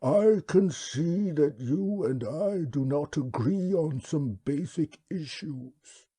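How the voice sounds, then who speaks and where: A man voicing a ponderous, courteous old alien creature that talks slowly and formally. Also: on the high side, lively intonation, warm tone, slightly rough, voice pushed up, heavily acted. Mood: calm, polite. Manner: slow, courteous, drawn-out speech. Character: ponderous, polite, ancient pig-like alien creature.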